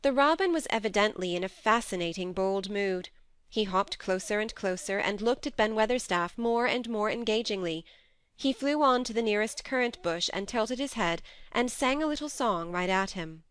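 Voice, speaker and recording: Smooth-voiced woman narrating a storybook. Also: medium pitch. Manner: steady, measured storytelling. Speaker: audiobook narrator. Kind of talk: dramatic audiobook narration.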